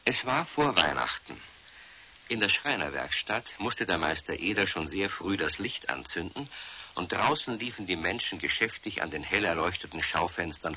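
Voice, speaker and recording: Tiefer, klarer Märchenerzähler, leichtes Rauschen. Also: male voice, low voice, sharp tone. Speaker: storybook narrator. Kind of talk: scripted narration for 1970s children's radio play. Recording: faint hiss, old studio recording.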